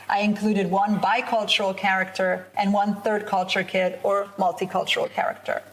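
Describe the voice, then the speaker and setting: A woman researcher presenting her work to a school audience and then moderating a student panel, in a measured, explanatory way. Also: medium pitch, a little hiss or hum, poor audio. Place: school event in front of students, teachers and guests.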